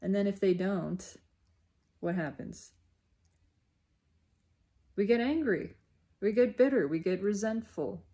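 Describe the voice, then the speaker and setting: A woman giving an unscripted, reflective spiritual monologue in deliberate, halting phrases with emphatic repetition. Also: on the low side, dark tone. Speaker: speaker sharing personal spiritual reflections.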